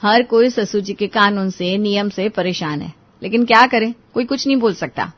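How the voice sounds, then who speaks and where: A woman voicing a practical, good-natured eldest daughter-in-law in a Hindi animated moral story, explaining in a familiar way. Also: mid-range voice, moderate pace, natural voice. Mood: resigned. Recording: dull, lo-fi audio.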